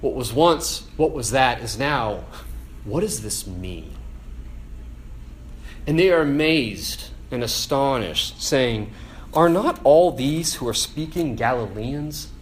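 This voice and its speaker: A man preaching a casual, conversational sermon, animated and full of "like" and "right?". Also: medium pitch, slow pace, a little hiss or hum.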